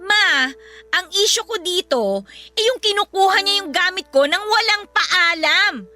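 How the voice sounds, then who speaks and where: Woman acting very high-pitched, irritable big sister. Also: animated, unhurried, sharp tone, full character voice. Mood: aggrieved, protesting. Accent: Filipino. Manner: scolding, exasperated, complaining. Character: irritable, aggrieved elder sister in her twenties. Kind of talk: radio drama acting. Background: music.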